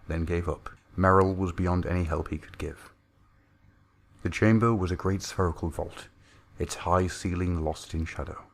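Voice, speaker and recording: A man's voice: sits low, creaky. A man reading a science-fiction horror story aloud as a narrator, in a grave, theatrical way.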